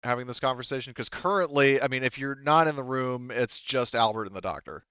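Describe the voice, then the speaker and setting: A man running a tabletop game as game master, giving casual rulings and narration in a halting way, thinking aloud. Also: medium pitch, sharp tone, clear, resonant tone, no echo, lo-fi sound.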